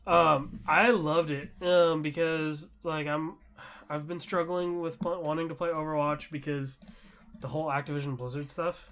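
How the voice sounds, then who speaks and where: Male podcast co-host, slightly high voice with marked vocal fry, informal and enthusiastic gamer chat. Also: level tone, moderate pace, fairly bright tone. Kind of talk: casual gaming chat.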